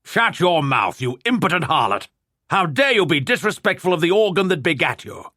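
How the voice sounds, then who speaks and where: A man's voice: fairly high pitch, big pitch swings, moderate pace, a bit bright, no room sound, clean studio sound, voice pushed up, full character voice. A man reading a story, putting on a haughty, indignant old lord who stammers at times. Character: blustering pompous old English lord.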